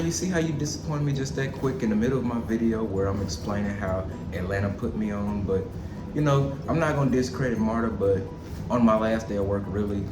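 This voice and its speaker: Chatty Alabama-raised man in his thirties, storytime vlogger, lowish voice, echoey room with music under. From Auburn, Alabama. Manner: chatty, addresses viewers as y'all. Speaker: vlogger and chef. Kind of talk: casual storytime vlog. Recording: roomy echo with music behind.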